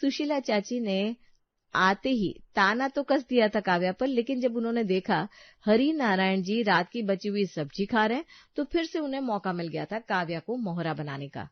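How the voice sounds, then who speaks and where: A woman's voice: mid-range voice, animated, moderate pace, no echo, dull audio. A woman narrator reading a scripted family story as a storyteller.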